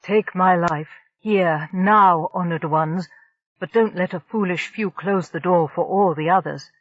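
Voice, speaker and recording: A woman's voice: on the low side, unhurried, no room sound. A woman voicing a remorseful winged alien male who speaks earnestly and pleads. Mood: pleading. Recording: dull lo-fi dry recording.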